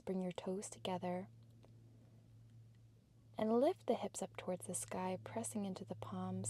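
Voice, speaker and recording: Woman, fitness instructor, slow pace, mellow tone, soft breathy cues, guided relaxation style. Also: mid-range voice, a little hiss or hum. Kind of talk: guided workout instruction with relaxation meditation cadence.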